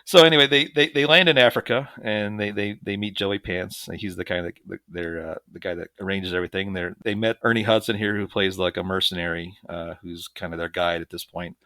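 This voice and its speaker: A man's voice: low voice, brisk, hardly any pauses, pushed and bright, no room sound. A man co-hosting a podcast, recapping a movie in casual, jokey chat with sarcastic asides. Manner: jokey, sarcastic, chuckling often. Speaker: podcast co-host.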